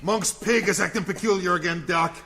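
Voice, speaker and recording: An actor playing a brawny, excitable adventurer sidekick with a gravelly voice and slangy, exclamatory talk, in an old-time radio serial style. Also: male voice, high-pitched, a little fast, a little hiss or hum, full character voice. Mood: excited.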